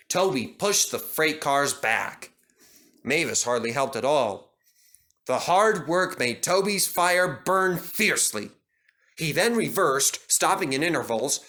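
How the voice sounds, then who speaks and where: Man narrating, bright tone, lively pitch, expressive storybook reading.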